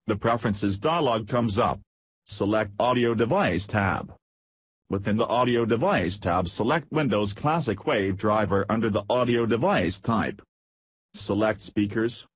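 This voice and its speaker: A man reading a scripted step-by-step software tutorial in a measured, instructional manner. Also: on the low side, breathy edge, no echo. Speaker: tutorial narrator.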